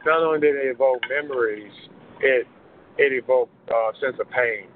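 A man, a pastor being interviewed, speaking in a measured, earnest way with many pauses. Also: medium pitch. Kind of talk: interview conversation. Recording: muffled phone line, faint hiss.

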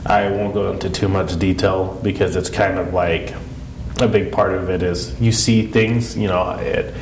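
A man's voice: low-pitched, fairly even intonation, normal speed. A man with a congested, sniffly head-cold voice, chatting casually on a podcast in an informal, rambling, earnest way. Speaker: podcast co-host. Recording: echoey room with clear background noise.

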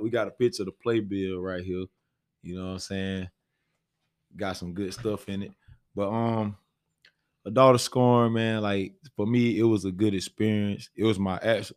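A man's voice: fairly low pitch, a little mellow, no room sound, clean studio sound. An American man hosting a podcast, chatting casually and informally with slang. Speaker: podcast host.

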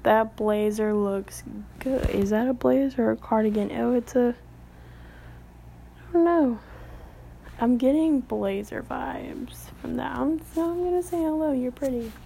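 A woman's voice: a little high, unhurried, gravelly, dark tone, slight background noise. A woman in her late teens giving casual, rambling commentary as a vlogger, with the odd whispered aside.

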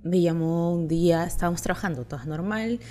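Low, mellow young woman. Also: brisk. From Peru and Chile, raised in Chile. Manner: chatty, confiding, laughs often. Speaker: podcast host. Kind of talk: casual personal storytelling.

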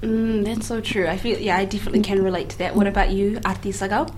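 A woman hosting a casual podcast, upbeat and quick to laugh. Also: medium pitch, steady tone, darkish tone. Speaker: podcast host. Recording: faint background noise.

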